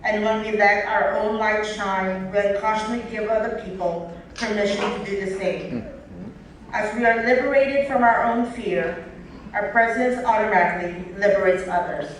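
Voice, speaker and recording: A woman giving a prepared graduation speech and reading a poem, earnest and measured. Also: medium pitch, a little hiss or hum. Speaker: graduating student speaker. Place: large theater. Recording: echoey theater from far off.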